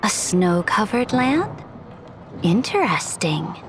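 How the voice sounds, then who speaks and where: An actress playing an imperious young conqueror empress with a formal, haughty and curious manner in a video game cutscene. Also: female voice, medium pitch, sing-song, music in the background. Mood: cool interest. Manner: formal, regal, measured.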